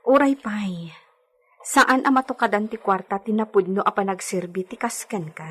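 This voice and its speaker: A woman with an Ilocano accent playing a gentle, anxious young lady in a radio drama. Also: medium pitch, normal speed, husky.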